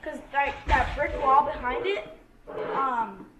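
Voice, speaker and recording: A woman's voice: high voice, takes it slowly. A female pupil giving a tentative answer in class, thinking aloud with hesitations and lots of filler words. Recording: distant echoey classroom mic, noisy background.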